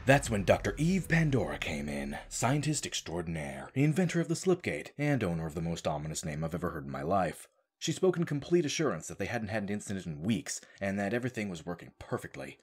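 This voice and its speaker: A man's voice: low-pitched, very animated, brisk, slightly rough. A man narrating an audio drama as a storyteller, with a wry, informal turn of phrase.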